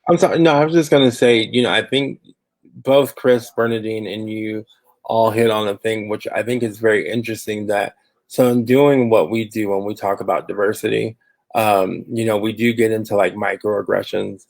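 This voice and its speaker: Brief: man from Phoenix, panel guest, lowish voice, dry close sound, rambling conversational delivery with lots of fillers. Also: fairly even intonation, normal speed, vocal fry, dry recording. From Phoenix, Arizona. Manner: conversational, many fillers and restarts. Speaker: panelist, diversity and inclusion professional. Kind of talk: panel discussion.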